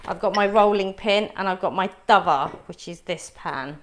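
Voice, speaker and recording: A middle-aged woman whose first language is Punjabi, teaching a live cooking class. She talks viewers through each step in a friendly, chatty way at a mid pitch. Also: moderate pace.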